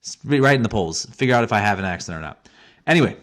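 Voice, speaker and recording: A man's voice: lowish voice, lively intonation, fast, a bit bright. A young man from Missouri with a Missouri accent, hosting a solo podcast in a rambling, self-deprecating monologue. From Missouri, USA. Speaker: podcast host.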